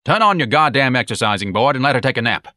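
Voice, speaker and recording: A man's voice: mid-range voice, expressive, fast, pushed and bright, dry recording, studio quality, voice pushed up. Man acting a blunt, exasperated, sarcastic husband. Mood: curt, exasperated.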